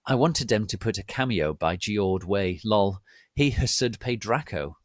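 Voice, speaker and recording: A man giving a theatrical, melodramatic dramatic reading of fan fiction as narrator, with a deadpan commitment to the text. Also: on the low side, a little slow, no room sound, clean studio sound. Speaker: narrator, reader.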